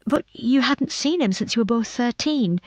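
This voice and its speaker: A woman's voice: fairly high pitch, animated, normal speed, no echo, pitched above the performer's own voice. A woman voicing a female police detective who questions a suspect firmly and probingly in an audiobook. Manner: quiet, firm, probing.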